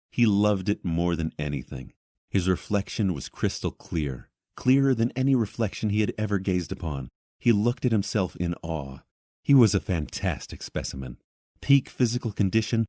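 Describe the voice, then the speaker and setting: A man's voice: low voice, dark tone, no room sound, studio quality. A man reading dramatic scripted fantasy narration as a storyteller.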